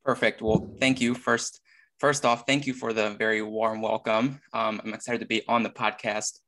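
A young man from Iceland sits in as a guest on a podcast and answers in a relaxed, halting manner. His voice is fairly low and stays even, with little rise and fall, and he keeps hedging with "kinda" and "like.". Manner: relaxed, hesitant, many fillers. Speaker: fitness coach, guest. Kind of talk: casual interview chat.

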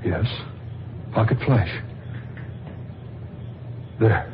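Gentlemanly doctor and family friend: formal, solicitous, noisy old radio recording. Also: male voice, very slow, muffled recording. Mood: uneasy. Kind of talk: vintage radio drama acting.

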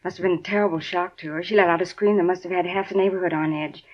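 A woman's voice: lowish voice, steady tone, fast talker, lo-fi sound, close to an everyday voice. An actress playing a talkative, folksy middle-aged apartment landlady in a 1950s radio drama. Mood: chatty.

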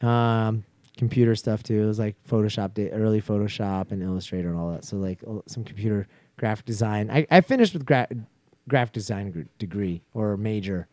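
A man's voice: on the low side, a little fast, warm tone, no room sound. A man in his forties chatting casually as a guest, laid-back and slangy, with many restarts. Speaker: guest skydiver. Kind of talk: casual chat.

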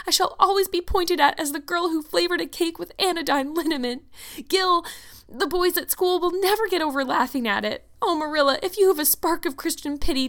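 A woman voicing an excitable, easily mortified young orphan schoolgirl in a melodramatic, wordy storybook style. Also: sits high, pitched above the performer's own voice. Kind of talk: storybook character voice.

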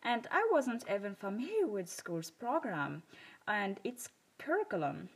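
Expressive Moroccan-accented woman podcaster. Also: mid-range voice, unhurried, breathy edge. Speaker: self-improvement podcast host. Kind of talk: personal storytelling monologue.

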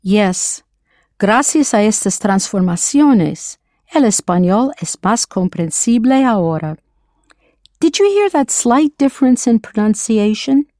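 An English-speaking woman leads a scripted language lesson in a clear, encouraging voice at an ordinary middle pitch. She slows down to model words carefully. The sound is dry and close, with no room around it. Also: mellow, no echo. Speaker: language teacher. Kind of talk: scripted audio lesson narration.

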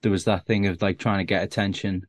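A low-voiced man in his thirties, speaking with a Scouse accent worn down by years away from Liverpool. He rambles and restarts as he chats, making fun of himself. The recording is close and dry, with no room sound. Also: steady tone, quick pace, no room sound. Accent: Liverpool (Scouse), softened. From Liverpool, England. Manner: self-deprecating, rambling with many restarts. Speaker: stand-up comedian guest. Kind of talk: casual interview chat.

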